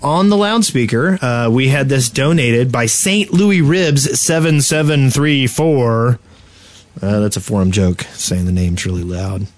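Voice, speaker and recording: A man's voice: mid-range voice, measured pace, dry recording. A man co-hosting a podcast, chatting casually with joking banter. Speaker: podcast co-host. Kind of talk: casual group podcast chat.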